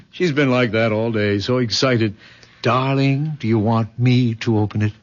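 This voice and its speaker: An actor playing an earnest, protective young fiancé in a radio drama, speaking carefully and patiently. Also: male voice, mid-range voice, steady tone, measured pace, natural voice. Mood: fond. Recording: muffled vintage radio drama recording.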